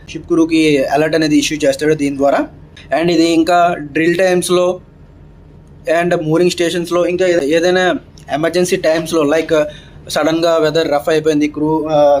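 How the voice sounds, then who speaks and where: A man's voice: fairly high pitch, a little mellow. A man gives a casual step-by-step vlog explanation, mixing in English terms. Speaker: seafarer vlogger. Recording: clear ambient background noise.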